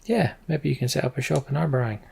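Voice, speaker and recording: A man's voice: medium pitch, fast talker, vocal fry, a little mellow, breathy edge. A man voicing a kindly, protective father who leads a caravan in a story game, plain-spoken and encouraging.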